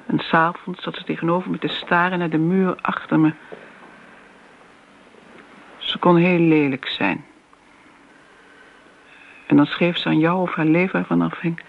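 A woman's voice: on the low side, little pitch movement, a little slow, gravelly, long pauses. Dismissive older woman, muffled noisy recording. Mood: reminiscing. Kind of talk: radio drama acting. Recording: dull, noisy old radio play recording.

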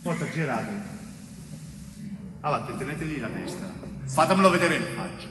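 A man's voice: a little high, animated, normal speed. A male actor playing a brutal, sadistic police interrogator on stage, barking threats and insults. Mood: menacing rage. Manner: shouted orders, threats and insults, repeated obsessively. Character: brutal, sadistic carabinieri officer interrogating a prisoner. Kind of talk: theatrical monologue acting. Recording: echoey reverberant stage, background hiss.

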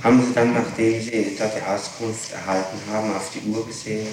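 A man's voice: lowish voice, flat delivery, fairly quick, music in the background. A man questioning formally and methodically as a prosecutor in court, pressing insistently for details. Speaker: public prosecutor. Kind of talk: courtroom interrogation. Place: large echoing room, distant mic. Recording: very echoey, noisy archival tape, far off.

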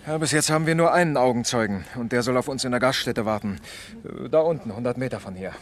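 An actor plays a dutiful police lieutenant in a 1970s radio crime play. His mid-pitched voice reports in a brisk, factual, businesslike way. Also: lightly acted. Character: brisk, matter-of-fact police lieutenant, dutiful junior detective. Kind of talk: 1970s German radio crime drama acting.

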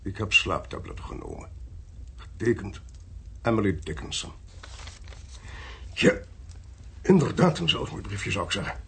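An actor playing an inquisitive, genial amateur sleuth in a radio play, with a husky, gravelly voice and an expansive, teasing way of talking. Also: male voice, on the low side, wildly swooping pitch, moderate pace, long pauses, a bit bright, a little hiss or hum, exaggerated voice. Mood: musing, genial.

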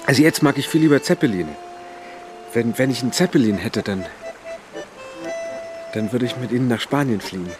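A man's voice: mid-range voice, brisk, long pauses, over music, lightly acted. An actor playing an awkward, dreamy man with boyish enthusiasm and a hesitant, earnest delivery in a radio drama. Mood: shy, dreamy.

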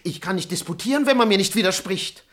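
An actor playing a pious, talkative manservant who argues earnestly like a would-be scholar in a German radio comedy. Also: male voice, sits high, expressive, quick pace, fairly bright tone. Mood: excitedly arguing. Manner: earnest, argumentative, pseudo-learned rambling. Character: talkative, pious male servant disguised in doctor's robe.

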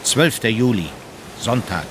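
An actor playing a critical, disdainful court diarist, reading in a dry, matter-of-fact way for an audio drama. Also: male voice, medium pitch, lively intonation, a little slow, noisy background, natural voice. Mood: dry disapproval. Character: critical diarist in the Kaiser's entourage, dryly disdainful.

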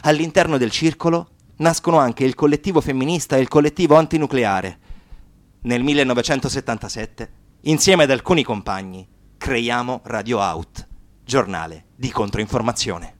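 An actor playing an impassioned young Sicilian activist recounting his political life in a solemn, deliberate radio-theatre monologue. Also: mid-range voice, no room sound, lightly acted. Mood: solemn recollection. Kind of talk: dramatic first-person monologue in radio theatre. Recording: acoustic guitar music behind the voice.

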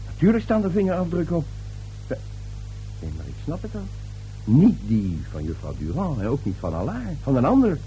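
An impatient, dismissive husband in a radio drama. His voice is dark and very lively in pitch, with a scoffing edge. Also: a little fast, soft background music, low-quality recording. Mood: irritated, dismissive. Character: irritable, dismissive husband questioning his wife.

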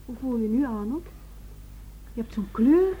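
An actress playing a worried, caring wife in a Dutch radio drama, soft-spoken and hesitant. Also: female voice, on the high side, lively intonation, a little slow, breathy edge, poor audio. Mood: solicitous.

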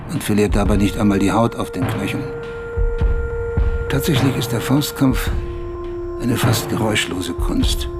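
Lowish male narrator over music. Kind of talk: scripted essayistic narration.

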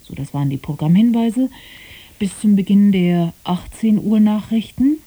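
Woman radio announcer, husky n really dark voice, calm formal scripted read. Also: medium pitch, moderate pace. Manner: composed, formal.

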